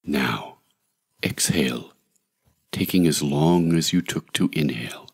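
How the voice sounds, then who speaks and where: A man narrating a scripted guided meditation in a measured, soothing, deliberate way, with long pauses. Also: a bass voice, husky.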